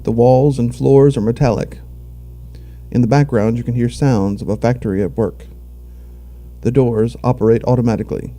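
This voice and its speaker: A man's voice: medium pitch, steady tone, normal speed, dark tone. A man narrating a scripted audio drama, telling the action in the present tense.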